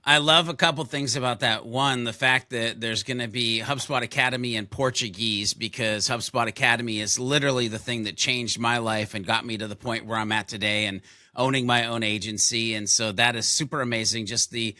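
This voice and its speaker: A man's voice: medium pitch, steady tone, fairly quick, sharp tone, dry recording. A man hosting an interview show, upbeat and enthusiastic as he asks his guest questions. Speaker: podcast host. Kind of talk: casual interview chat.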